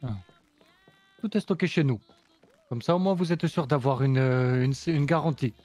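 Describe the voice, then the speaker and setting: A man voicing a composed, businesslike supplier with a German accent, talking in measured sales patter. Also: mid-range voice, a little mellow, no echo, soft background music, close to an everyday voice. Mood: calm selling. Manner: smooth, measured salesman talk. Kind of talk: roleplay in character.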